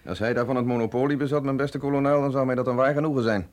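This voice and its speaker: A man playing an urbane, skeptical physiologist in a radio drama, speaking with measured, ironic eloquence. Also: mid-range voice, fairly even intonation, a little mellow, close to an everyday voice. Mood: wry, ironic. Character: wry, skeptical male physiologist, urbane and philosophical. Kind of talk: Dutch radio drama acting.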